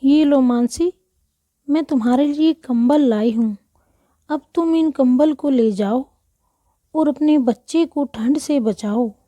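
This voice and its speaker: A woman playing gentle, earnest village women in a children's moral story cartoon. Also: high-pitched, takes it slowly, dry recording. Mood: kindly. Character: humble, kindly village women, maid and mistress.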